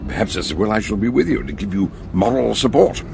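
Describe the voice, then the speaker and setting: A man playing an eccentric, scholarly detective in a radio drama, speaking in a wry, deliberate way, with noise behind him. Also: fairly low pitch, lively intonation, gravelly, darkish tone. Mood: wry, cautioning. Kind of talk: radio drama acting and narration.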